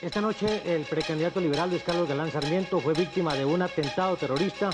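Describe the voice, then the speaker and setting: Male Colombian news anchor, high pitch, grave, formal, halting, urgent bulletin, music behind.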